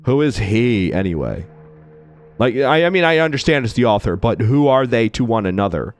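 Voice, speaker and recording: Male let's-play host, lively swinging voice, playful rambler, voice still recovering, faint music behind. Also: medium pitch, quick pace, smooth, no echo, studio quality. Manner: playful, rambling, self-mocking. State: recovering from losing his voice. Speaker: gaming channel host.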